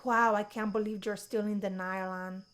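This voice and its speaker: A woman's voice: medium pitch, little pitch movement, normal speed, a bit bright, clear, resonant tone, natural voice. A woman voicing a confident, teasing young college woman with a sly, theatrical manner in a visual novel. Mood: mock stern.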